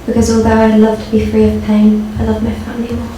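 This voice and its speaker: Female guest reader, slightly high flat delivery, poetry and introductions to a live audience. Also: fast. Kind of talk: poem reading to an audience. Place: indoor live launch event. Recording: echoey, noisy hall, far from mic.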